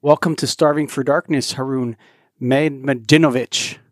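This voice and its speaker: A man's voice: mid-range voice, steady tone, moderate pace, no echo, clean studio sound. A man hosting a podcast, introducing and welcoming a guest in casual talk. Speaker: podcast host.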